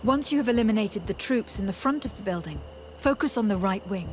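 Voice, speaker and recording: Woman playing noble female envoy, formal, measured, commanding, video game voice, medium pitch. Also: expressive, darkish tone, a little hiss or hum, close to an everyday voice. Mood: composed, commanding. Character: noble female envoy, composed young leader giving orders. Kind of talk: scripted video game voice acting.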